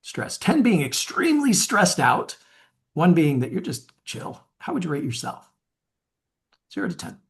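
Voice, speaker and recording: A man's voice: high-pitched, wildly swooping pitch, unhurried. A man coaching in a webinar, upbeat, asking viewers to rate themselves. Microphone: webinar mic.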